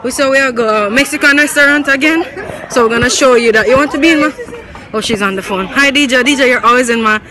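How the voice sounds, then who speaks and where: A woman's voice: sits high, fast talker, crowd noise. A young woman with a Jamaican accent chatting casually and playfully to her phone camera out on a city street. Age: young adult. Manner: playful, teasing. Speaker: vlogger. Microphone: phone at arm's length. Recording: noisy busy street, handheld recording.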